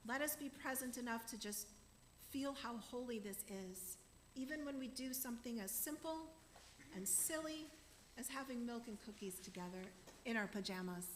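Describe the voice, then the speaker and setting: A woman's voice: fairly high pitch, reverberant, a little hiss or hum. A woman minister giving an earnest sermon to her congregation in a church, saying she is losing her voice. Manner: warm, earnest preaching to a congregation. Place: church sanctuary.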